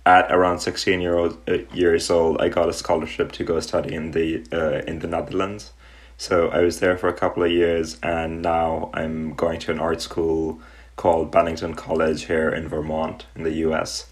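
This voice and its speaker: Very deep-voiced young man from Pakistan. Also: completely flat delivery. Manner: thoughtful, with frequent ums and pauses. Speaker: artist, interview guest. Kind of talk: relaxed reflective interview answers.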